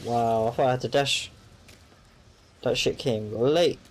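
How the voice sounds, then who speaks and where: A man's voice: mid-range voice, unhurried, slight background noise. A young man, playfully muttering reactions over his game. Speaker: gamer. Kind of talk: let's play commentary.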